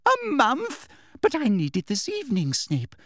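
A man voicing a furious middle-aged woman official with a sweetly girlish, shrill voice in an audiobook reading. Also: huge pitch swings, unhurried, dry recording, clean studio sound, full character voice. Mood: shrill insistence. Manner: shrill, squawking and shrieking.

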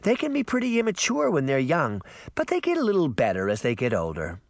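A man's voice: a little high, huge pitch swings, fairly quick, creaky, no room sound, pitched above the performer's own voice, full character voice. Man doing a sweet, lilting young female teacher. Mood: amused. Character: pretty, sweet young woman teacher, friendly and bashful. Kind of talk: audiobook character voice.

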